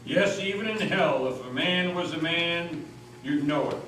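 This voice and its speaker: A man's voice: on the high side, measured pace, bright, forceful tone. A man giving an impassioned, oratorical reading of a scripted dramatic story as narrator. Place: old meeting house, live performance. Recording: very echoey hall, distant mic, faint noise.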